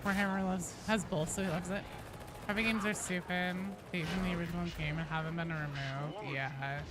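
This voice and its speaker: A woman livestreaming a video game, chatting casually and commentating in an informal, sweary, animated way. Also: fairly low pitch, normal speed.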